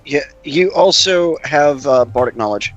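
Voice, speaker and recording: A male player in a tabletop game speaks slowly and hesitantly. His voice is on the higher side for a man. Music plays behind the casual chat. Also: lively intonation, lo-fi sound. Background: background music.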